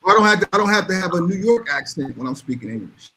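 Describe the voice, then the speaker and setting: A man's voice: high voice, very animated, fast talker, noisy background. A man talking casually and bluntly, giving emphatic opinions and repeating phrases to drive points home. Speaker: podcast panelist.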